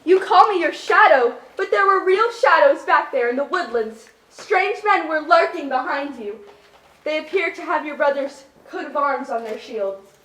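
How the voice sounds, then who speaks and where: High-voiced bold young princess played by a woman. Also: expressive, moderate pace, a bit bright. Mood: earnest, urgent. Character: bold young princess, protective loyal daughter. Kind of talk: musical stage reading. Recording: echoey live stage.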